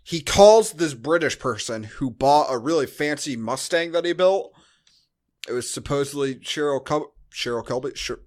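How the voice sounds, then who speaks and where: A man's voice: fairly high pitch, lively intonation, moderate pace. A man hosting a podcast, recapping a movie in casual chat with dry, sarcastic asides. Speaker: podcast host.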